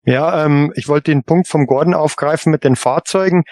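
A man on a casual podcast panel, reasoning in a halting way and often repeating his words. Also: on the high side, a little mellow, no echo, clean studio sound.

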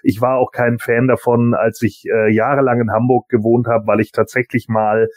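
A man co-hosting a podcast, chatting casually and colloquially with halting asides. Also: mid-range voice, flat delivery, darkish tone, no room sound, clean studio sound. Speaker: podcast co-host. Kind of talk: casual anecdotal chat.

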